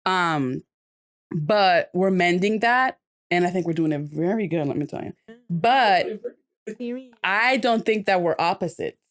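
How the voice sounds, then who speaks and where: A woman's voice: a little slow, long pauses. A woman guesting on a podcast with a nasal voice, talking animatedly about her best friend. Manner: animated, jumps up in pitch when quoting. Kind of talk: casual heartfelt chat.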